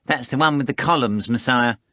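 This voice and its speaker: A man voicing a boastful, excitable male cat who talks in a shrill, theatrical rush. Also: a little fast, dry recording, studio quality, close to an everyday voice. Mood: pleading. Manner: shrill, breathless, pleading and theatrical.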